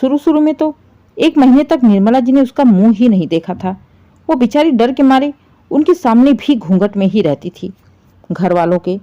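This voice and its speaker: A woman narrating a scripted family story in an expressive, dramatic and sympathetic way. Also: medium pitch, lo-fi sound.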